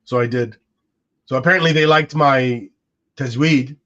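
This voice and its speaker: A man's voice: mid-range voice, moderate pace, fairly bright tone. A man in his forties whose first language is Arabic, musing aloud in a casual podcast conversation with frequent restarts. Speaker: co-host. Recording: dull lo-fi sound.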